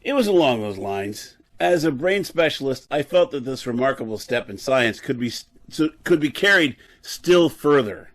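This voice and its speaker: Man acting bitter drunken professor, middle pitch, disgraced surgeon, lecturing, faltering delivery, radio play style. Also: big pitch swings, moderate pace, few pauses, fairly bright tone. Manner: lecturing, faltering over words. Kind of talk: old-time radio drama acting.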